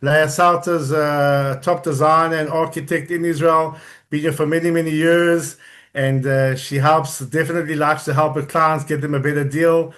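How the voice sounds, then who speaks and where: A man's voice: fairly high pitch, level tone, normal speed, fairly bright tone. A man introducing the next speaker in an effusive, rambling way.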